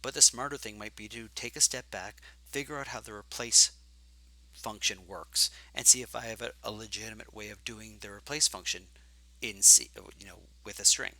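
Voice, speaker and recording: A man teaching programming in a live coding lecture, thinking aloud and correcting himself as he goes, his voice growing hoarse from long talking. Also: fairly low pitch, normal speed, sharp tone, low-quality recording. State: getting hoarse.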